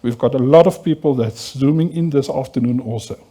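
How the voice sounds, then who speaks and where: A man with a South African Afrikaans accent opens a conference as its chairperson. He has a dark, mellow tone and speaks at a middle pitch, moving back and forth between Afrikaans and English. His welcome is formal and repetitive. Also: a little fast. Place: conference auditorium.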